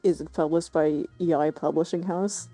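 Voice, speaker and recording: A woman's voice: deep voice, fairly even intonation, normal speed, warm tone, faint music behind. A woman streaming a game, reacting casually with dry, skeptical, teasing comments.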